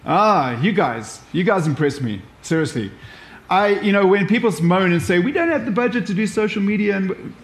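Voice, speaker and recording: Echoey, higher-pitched South African man presenting. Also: expressive, moderate pace, slight background noise. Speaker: presenter of a radio workshop. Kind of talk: informal live presentation with examples. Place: echoey room, live event. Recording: echoey room sound.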